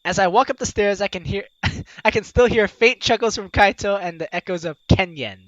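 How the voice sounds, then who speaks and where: A woman's voice: mid-range voice, normal speed, no echo, muffled recording. A woman hosting a game playthrough, reading the narration aloud expressively with playful, giggly commentary. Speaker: gaming video host, player reading aloud.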